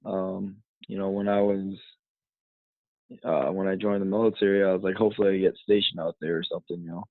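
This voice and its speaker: A man in his twenties from the United States rambles casually as a podcast guest, leaning on "you know" between thoughts. His voice sits very low and barely rises or falls. It comes through a thin, phone-like line with a dry sound. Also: flat delivery, brisk, no echo. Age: 20s. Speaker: guest, fighter.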